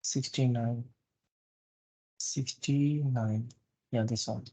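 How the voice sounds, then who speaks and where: A man giving a live software training walkthrough in a halting, instructive way, often repeating phrases. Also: medium pitch, fairly even intonation. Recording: dull, muffled lo-fi meeting recording.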